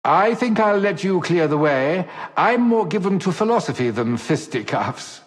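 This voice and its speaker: An actor playing a suave, cowardly gentleman rogue in a video game, glib, florid and theatrically polite. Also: high-pitched, sing-song, moderate pace. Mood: mock-gallant. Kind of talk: video game character acting. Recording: long hall reverb.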